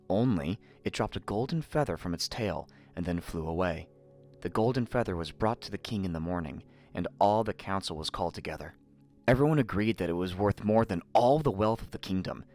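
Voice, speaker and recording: Male narrator, lowish pitch, animated intonation, theatrical fairy-tale storytelling, soft background music. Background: faint music.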